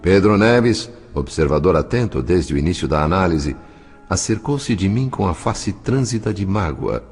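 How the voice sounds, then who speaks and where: Low voiced guy narrating audio drama, formal and solemn, faint music behind. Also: sing-song, normal speed, a little mellow, breathy edge. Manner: formal, solemn, literary delivery.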